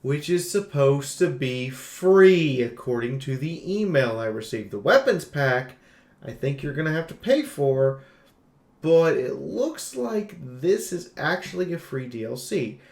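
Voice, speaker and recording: A man's voice: on the high side, big pitch swings, unhurried, creaky, darkish tone, roomy sound. A man giving a casual game review in an emphatic, exclamatory, jokey way, as a streamer talking through notes and ad-libs. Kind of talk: casual scripted game review with ad-libbed commentary.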